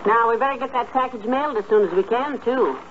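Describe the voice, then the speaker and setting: An actress playing a lively, sensible middle-aged wife, affectionately exasperated and emphatic, in 1950s radio comedy style. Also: female voice, a little high, fast, close to an everyday voice. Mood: flustered. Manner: animated, emphatic. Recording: muffled, noisy old radio recording.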